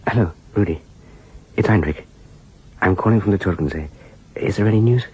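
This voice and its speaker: A man playing a loyal, deferential aide in a radio drama. His voice is low, with a careful, hesitant, concerned manner and faint noise behind it. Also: brisk, muffled recording, close to an everyday voice. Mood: subdued, concerned. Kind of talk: audio drama acting.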